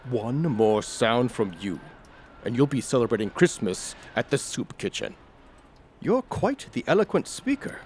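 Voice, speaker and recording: A man's voice: medium pitch, sing-song, measured pace, darkish tone, a little hiss or hum. A man playing a bitter old miserly uncle in an audio drama, curt, sneering and sarcastic. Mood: cold, sarcastic.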